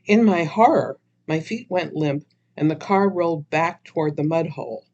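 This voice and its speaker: A woman's voice: sits low, expressive, normal speed, darkish tone, highs cut off. A woman author narrating her own humorous story dramatically, with theatrical expression.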